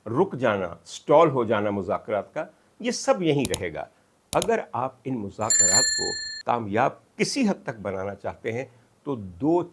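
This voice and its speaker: Animated, oratorical Pakistani man. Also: mid-range voice, husky. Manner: oratorical, emphatic, with dramatic pauses and piled-up lists. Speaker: political commentator. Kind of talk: rhetorical monologue of political analysis.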